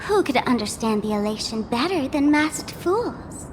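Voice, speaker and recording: A woman's voice: sits high, sing-song, measured pace, big-room sound, background noise. A woman voicing a mischievous masked jester woman in a video game, speaking in a teasing, theatrical, smug way. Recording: game cutscene with loud music.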